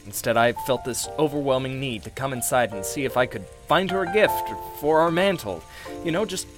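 An actor plays a humble widower in a radio drama, ashamed of his weakness and deeply earnest. His medium-pitched voice swings widely and stumbles over words. Music and rain sit under him. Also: expressive, natural voice. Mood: ashamed, troubled. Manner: halting, stammering, emotional delivery. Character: grieving widower, recovering alcoholic, ashamed and humbly grateful. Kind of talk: radio play acting.

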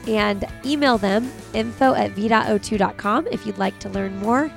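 Raspy-voiced woman podcast host. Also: medium pitch, normal speed, soft background music. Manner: chatty, laughs often, many fillers. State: recovering from a cold. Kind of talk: casual interview chat.

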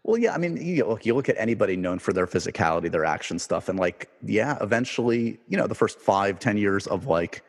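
A man with a low-ish, husky voice hosts a casual chat, hedging his questions with many fillers. A long reverb hangs behind his voice. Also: fairly quick, hardly any pauses. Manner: relaxed, hedging, heavy on "like" and "uh". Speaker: podcast host.

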